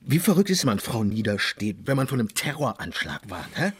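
Husky, sarcastic male detective. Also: medium pitch, very animated, brisk. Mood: agitated, bitter. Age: 30s. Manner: sarcastic, agitated, dismissive. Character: defensive, bitter, sarcastic male police detective under suspicion. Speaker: police detective. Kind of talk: naturalistic radio drama dialogue.

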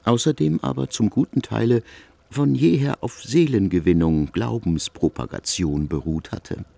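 A man playing an erudite narrator in a literary radio drama, speaking eloquently with deliberate phrasing. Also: fairly low pitch, vocal fry, dark tone, no echo. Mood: erudite, expressive. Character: erudite male narrator of a biblical epic.

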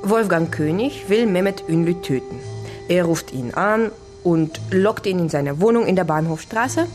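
Low-voiced, sceptical investigative colleague woman. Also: expressive, a little slow, music in the background. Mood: reasoning. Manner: direct, reasoning, sceptical. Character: sceptical, inquisitive female colleague working through a case. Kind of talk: naturalistic German radio crime drama acting.

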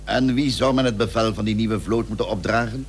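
Actor as a low-voiced, courteous statesman. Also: steady tone, lightly acted. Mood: confiding. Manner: formal, measured, confiding. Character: courteous, persuasive male statesman of the Dutch Republic. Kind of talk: radio drama acting, stately archaic speech. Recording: humming, noisy old radio recording.

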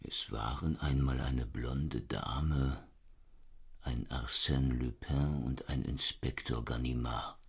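An actor playing a gruff, exasperated, middle-aged police inspector in a radio play. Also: male voice, a bass voice, steady tone, exaggerated voice. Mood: subdued, puzzled. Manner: barking orders, then slow and brooding.

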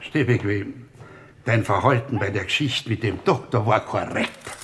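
An actor playing an authoritative army colonel, giving curt and commanding remarks in a radio play. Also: male voice, fairly low pitch, measured pace. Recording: loud noise behind the voice.

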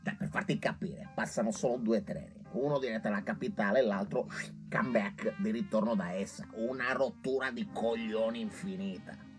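An actor playing a cynical, self-satisfied young doctor with a Milanese accent, wheedling and slangy in a radio comedy. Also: male voice, on the low side, normal speed, faint music behind, lightly acted. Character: pleading, cynical, foppish young northern doctor. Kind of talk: theatrical radio comedy acting.

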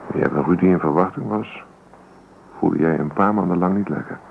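An actor playing a stubborn, sardonic young husband in a naturalistic radio drama, gruff and dismissive. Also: male voice, low-pitched, a little fast. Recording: old radio play, phone-like muffled sound, noisy.

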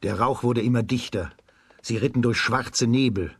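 A man narrating a children's radio play as a scripted storyteller, in a husky voice. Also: mid-range voice, moderate pace.

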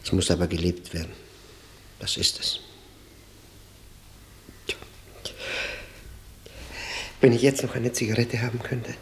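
An actor playing a broken, brooding man in a 1960s radio play, confessing in a halting, weary, introspective way. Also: medium pitch, slight background noise, lightly acted. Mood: halting, anguished.

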